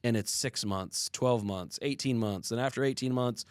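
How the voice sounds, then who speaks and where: A man's voice: mid-range voice, moderate pace, smooth, no echo, studio quality. A young adult man co-hosting a podcast, telling stories in a casual, earnest and reflective way. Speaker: podcast co-host.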